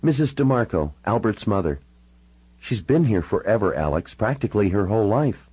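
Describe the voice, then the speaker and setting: A man's voice: lowish voice, big pitch swings, normal speed, darkish tone, husky, dry recording, pitched above the performer's own voice, poor audio. A man voicing a troubled, sardonic, hard-drinking woman with a wry, frank and informal way of talking, in audiobook dialogue. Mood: matter-of-fact.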